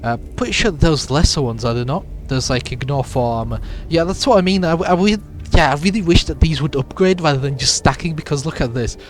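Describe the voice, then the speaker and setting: Hoarse man rambling through gameplay. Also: on the high side, a little fast, hardly any pauses, faint music behind. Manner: rambling, with many fillers and restarts. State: sick, raspy voice. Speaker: gameplay commentator. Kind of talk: casual let's-play commentary.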